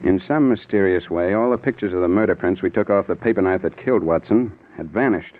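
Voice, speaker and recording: An actor playing a blunt, skeptical American detective captain in 1940s radio style, on a tinny old broadcast. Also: male voice, low voice, fast, close to an everyday voice. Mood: brisk authority. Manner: blunt, commanding, sardonic.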